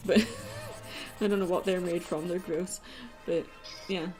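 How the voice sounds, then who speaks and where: A woman's voice: lowish voice, fairly even intonation, unhurried, a little mellow. A woman hosting a casual livestream chat, answering silly questions in a playfully argumentative way. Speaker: livestream host. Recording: music behind the voice. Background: music.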